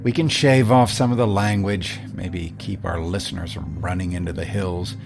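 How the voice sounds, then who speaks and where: A serious, worried station manager with a fairly deep voice. He talks in measured, hesitant phrases in a naturalistic radio drama, with music clearly playing underneath. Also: steady tone. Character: careful, worried male radio station boss. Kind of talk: naturalistic audio drama acting.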